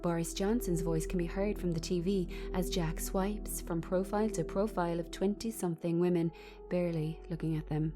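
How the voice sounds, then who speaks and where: A woman's voice: lowish voice, fairly even intonation, normal speed, music in the background. A woman narrating a script, reading out stage directions in short present-tense sentences.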